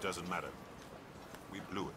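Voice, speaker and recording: An actor playing a gruff, weary army sergeant in a video game, curt and commanding with his squad. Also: sits low, fairly even intonation, normal speed. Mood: grim, resigned. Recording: loud battle and vehicle noise behind.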